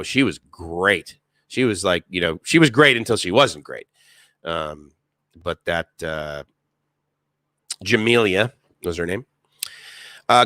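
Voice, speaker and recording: A man's voice: lowish voice, a bit bright, no echo, clean studio sound. A man, hosting a casual live stream, rambling with many fillers and reading chat comments aloud.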